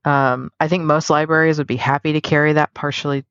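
A woman with a creaky, fry-laden voice co-hosts a casual podcast. She speaks in measured, hesitant phrases, captured very dry and slightly muffled. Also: sits low, steady tone, no echo. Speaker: podcast host.

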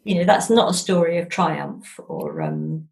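A woman's voice: fairly low pitch, huge pitch swings, unhurried, gravelly, a little mellow. A woman with an English accent, telling stories casually and chattily, often breaking off and restarting her phrases as she goes. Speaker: interview guest, novelist. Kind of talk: casual conversational storytelling.

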